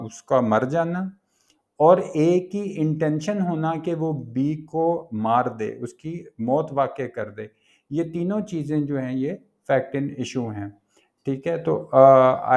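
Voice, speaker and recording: A man's voice: a little high, a little mellow. A man with a Pakistani accent giving an exam-prep law lecture, explaining step by step and reading out English phrases.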